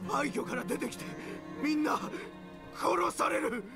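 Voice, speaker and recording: An actor playing a crazed, terrified man raving frantically about monsters in a dark fantasy game. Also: very high voice, a little slow, vocal fry, heavily acted. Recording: music under the voice.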